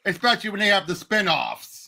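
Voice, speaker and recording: A man with a high, bright voice and a lot of gravelly creak. He talks as a podcast co-host in casual conversation, pressing his opinions with emphasis. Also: very animated, fast. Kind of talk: casual film and game discussion.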